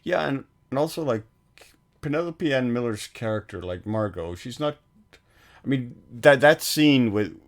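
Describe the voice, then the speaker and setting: A man's voice: medium pitch, expressive, a little slow. A man co-hosting a film podcast, talking casually and rambling with fillers and restarts.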